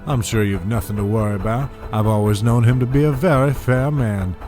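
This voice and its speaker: A man's voice: lowish voice, a little fast, over music, natural voice. A man playing a smug, scheming oil baron in an audio drama, silky, condescending and mock-courteous.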